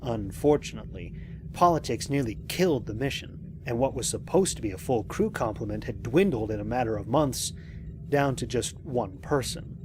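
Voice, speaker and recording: A man playing a lone spaceship commander and scientist in an audio drama, recording mission logs in a measured, reflective, precise way. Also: medium pitch, lively intonation, noisy background, lightly acted. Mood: wry, wistful. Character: lone male spaceship commander, thoughtful scientist, quietly amazed.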